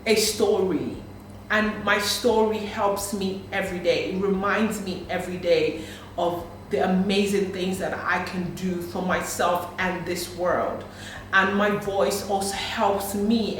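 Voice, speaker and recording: A woman in her thirties speaks openly to her followers, stressing her points and repeating phrases. She sits some way from the mic in a strongly echoing room with a faint hiss underneath. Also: mid-range voice. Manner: candid, emphatic, repeats phrases. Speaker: vlogger. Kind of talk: personal reflective vlog. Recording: echoey room, mic at a distance.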